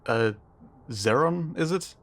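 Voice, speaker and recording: A man's voice: a little high, lively intonation, takes it slowly. A man playing an easily spooked, wry friend in a scripted sci-fi roleplay, talking in a hesitant, casual, dryly joking way. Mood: flustered.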